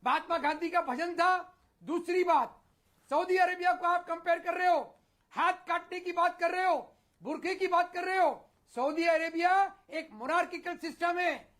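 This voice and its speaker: A man's voice: extremely high pitch, moderate pace, fairly bright tone. A man on a television debate panel, insistent and pointed as he rebuts the other side. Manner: insistent, keeps demanding a turn to reply.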